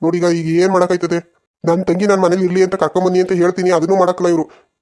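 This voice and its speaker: A woman's voice: fairly low pitch, little pitch movement, fast, mellow, lightly acted. A woman voicing a protective, dutiful young village man in a cartoon family drama, earnest and insistent, with a rural southern Karnataka accent. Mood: frustrated.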